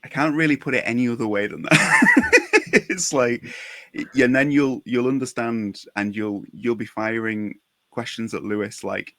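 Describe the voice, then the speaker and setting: A man's voice: mid-range voice, huge pitch swings, moderate pace, pushed and bright, dry recording. A man hosting a casual podcast, enthusiastic and fond of tagging questions onto his points. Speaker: podcast host.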